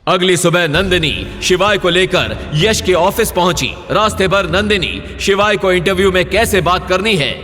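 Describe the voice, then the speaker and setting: High, bright male story narrator with reverb. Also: moderate pace, few pauses. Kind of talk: scripted dramatic story narration in Hindi. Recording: long reverb, faint music behind.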